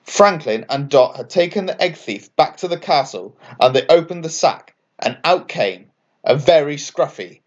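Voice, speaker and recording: A man reading a children's bedtime story aloud as an expressive scripted narration. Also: on the high side, moderate pace, highs cut off. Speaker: author and story reader.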